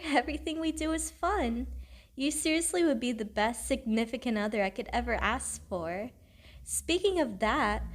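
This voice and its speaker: A woman playing a sweet, devoted young girlfriend in an intimate audio roleplay, tender, earnest and a little self-conscious as she speaks to the listener. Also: sits high. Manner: tender, gentle, affectionate.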